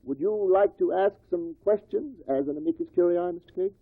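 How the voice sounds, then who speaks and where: An actor playing an official, plain-spoken coroner who formally explains matters to a jury in a 1950s radio drama. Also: male voice, sits high, a little fast, dry recording. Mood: official, matter-of-fact. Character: brisk, official male coroner presiding over an inquest. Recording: tinny, dry old radio recording.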